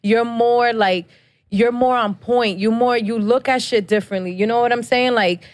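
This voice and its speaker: A woman's voice: on the high side, fairly even intonation, normal speed, no room sound, studio quality. A woman from New Jersey, co-hosting a podcast, talking in a blunt, profane, emphatic way. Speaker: podcast co-host. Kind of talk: casual podcast chat.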